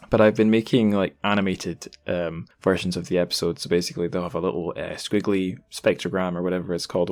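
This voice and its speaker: A British man hosting a podcast, talking casually and conversationally with self-aware asides. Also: sits low. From United Kingdom. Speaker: podcast host.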